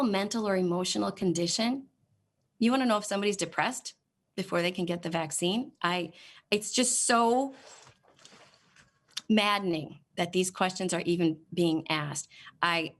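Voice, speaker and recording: Woman, lowish voice, frustrated, sarcastic, halting, hearing room. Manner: exasperated, sarcastic questioning, halting with many fillers. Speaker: state senator at a committee hearing. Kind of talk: committee testimony, reading off a website form.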